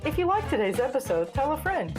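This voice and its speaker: A woman with a slightly high, very lively voice hosts a podcast sign-off over music. She reads scripted lines in a wry, tongue-in-cheek way. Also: quick pace. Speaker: podcast host. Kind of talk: scripted, playful podcast outro.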